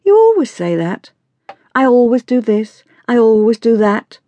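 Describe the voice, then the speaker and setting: A woman's voice: fairly high pitch, very animated, moderate pace, warm tone, dry recording, muffled recording, pitched above the performer's own voice. A woman voicing a sulky, mocking fourteen-year-old girl in audiobook dialogue, with a whiny, sarcastic manner. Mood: exasperated, bored. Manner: whiny, sarcastic teenage complaining.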